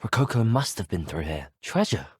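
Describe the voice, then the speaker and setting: An actor voicing a polite, curious teenage student wizard in a video game, with a British accent and a courteous, earnest, well-spoken manner. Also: male voice, deep voice, very animated, moderate pace, husky, dry recording, full character voice. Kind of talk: video game character voice lines.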